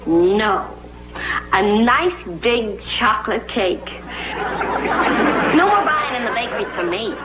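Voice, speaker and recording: An actress playing a cheerful, enthusiastic neighbor wife in a 1950s sitcom. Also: female voice, fairly high pitch, very animated, slow, pauses often, over a noisy crowd, very noisy. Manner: bubbly, enthusiastic. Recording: roomy old TV soundtrack with music.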